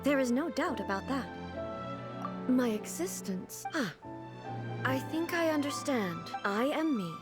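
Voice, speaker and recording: A woman playing a dignified, earnest female deity ruler in a video game, speaking in a formal, thoughtful, measured manner. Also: high-pitched, expressive, music in the background. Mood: assured, reflective.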